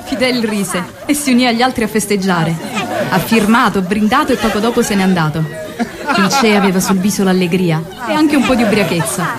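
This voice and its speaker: An actress playing a revolutionary woman in love, recalling her story in a measured, confiding, emotional stage monologue. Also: medium pitch, animated, a loud crowd, natural voice. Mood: warm remembrance. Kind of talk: dramatic first-person stage monologue.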